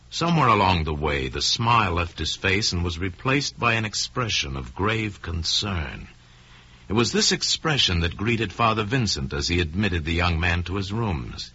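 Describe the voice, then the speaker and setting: A man narrating a crime story in old-time radio style, deliberate and suspenseful, with dramatic pauses. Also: low-pitched, pushed and bright, slightly rough. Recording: dull, lo-fi old radio recording.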